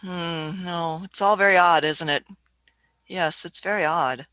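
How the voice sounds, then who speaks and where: Woman doing a fussy old time traveler lady, keeps going hmm and uh, bright tense voice. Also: fairly low pitch, a little slow, clear, resonant tone. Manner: hesitant, muttering "hmm" and "uh". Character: elderly female time traveler, fussy, shrewd. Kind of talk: fan radio play acting.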